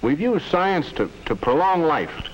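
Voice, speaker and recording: A man's voice: a little high, very animated, unhurried. A man playing an earnest, patient middle-aged American father advising his teenage children in a 1950s educational film. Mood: solemn. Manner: earnest, instructive. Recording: muffled, hissy 1950s film soundtrack.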